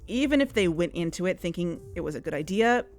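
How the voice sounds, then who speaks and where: A woman in scripted audio drama dialogue, earnest and worried, sometimes rushing her words. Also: on the low side, lively intonation. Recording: music bed underneath.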